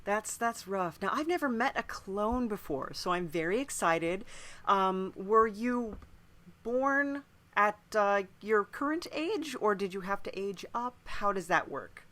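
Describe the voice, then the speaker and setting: A woman's voice: mid-range voice, expressive, measured pace, pushed and bright. A woman hosting a casual interview podcast, curious and a little hesitant in how she talks.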